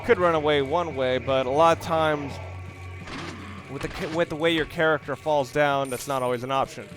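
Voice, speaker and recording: Higher-pitched man doing casual game commentary. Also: fairly even intonation, normal speed. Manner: rambling, thinks aloud, explains tactics. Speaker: let's play host. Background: game music and sounds.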